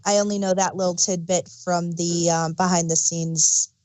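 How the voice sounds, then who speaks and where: A woman's voice: lowish voice, level tone, moderate pace, mellow, dry recording, poor audio. A woman chatting casually as a podcast host, explaining background details like an enthusiastic fan. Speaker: audio drama review podcast host. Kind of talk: casual review chat.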